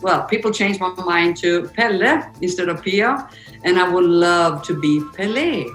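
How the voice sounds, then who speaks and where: An older woman with Swedish as her first language, answering interview questions thoughtfully over a video call, with fillers and pauses. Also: fairly low pitch, reverberant, faint music behind, rough recording. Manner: thoughtful, halting speech with fillers. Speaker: interview guest, football coach. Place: office room indoors.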